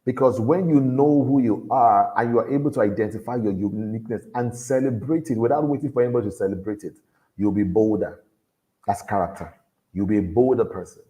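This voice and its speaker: A man with a deep voice, giving an earnest motivational talk to young people and repeating his key lines for emphasis. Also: a little fast, warm tone, low-quality recording. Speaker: motivational speaker at a youth event.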